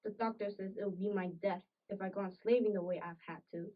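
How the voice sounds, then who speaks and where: A woman's voice: mid-range voice, steady tone, quick pace, close to an everyday voice. A woman playing a sickly, bitter, complaining farm wife with old-fashioned rural phrasing in an amateur period drama. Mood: reproachful. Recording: muffled audio, distant microphone.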